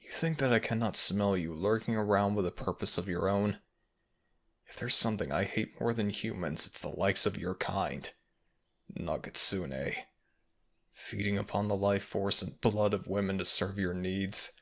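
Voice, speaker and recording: A brooding kitsune spirit, acted by a man, possessive and protective. The voice is very low and crackles with gravel, yet keeps a crisp forceful edge. The speech is intimate and archaic, made for romantic ASMR roleplay. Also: moderate pace. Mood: menacing, contemptuous. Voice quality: gravelly, breathy at times.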